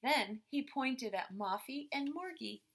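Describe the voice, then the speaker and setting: A woman teacher reading a picture book aloud to young children in expressive, simple phrases. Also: sits high, a little slow.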